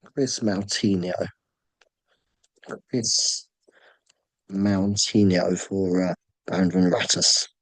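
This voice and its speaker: A man whose voice is worn down by a sore throat hosts a casual online draw from his computer. He speaks low and haltingly, stopping often for ums and uhs. The sound is close and dry, with no room echo. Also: fairly even intonation. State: sore throat, voice nearly gone. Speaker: host running a fantasy draw. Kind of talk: casual screen-share commentary.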